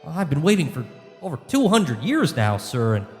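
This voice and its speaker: A man's voice: a little high, wildly swooping pitch, moderate pace, darkish tone, big-room sound, soft background music. A man playing an eager, fussy, simple-minded angel in a comedic radio play, flustered and fawning.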